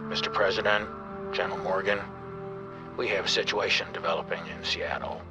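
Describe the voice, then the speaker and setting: A man's voice: deep voice, fairly quick, lightly acted. A man playing a grave army general in a game, formal, deferential and a little hesitant as he briefs a superior. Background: music.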